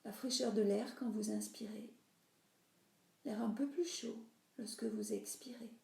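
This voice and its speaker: A woman guides a relaxation practice in a roomy, echoing recording. Her voice sits a little high yet stays mellow. She instructs soothingly, letting phrase endings soften into breath. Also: steady tone, measured pace, gravelly. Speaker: yoga nidra teacher.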